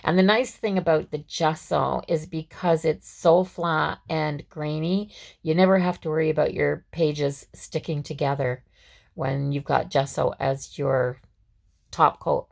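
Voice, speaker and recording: A woman with a scratchy, hoarse voice, chatting through a craft tutorial at her work table with lots of fillers and restarts. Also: low voice, fairly even intonation, unhurried, darkish tone. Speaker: craft vlogger. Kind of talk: casual step-by-step craft tutorial.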